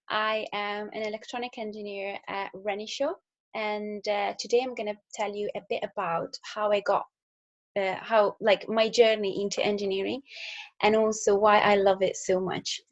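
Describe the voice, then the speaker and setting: A woman's voice: mid-range voice, steady tone, normal speed, lo-fi sound. A young woman from Italy with an Italian accent, talking casually to school pupils, with fillers and restarts. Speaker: engineer. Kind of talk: recorded talk to school pupils.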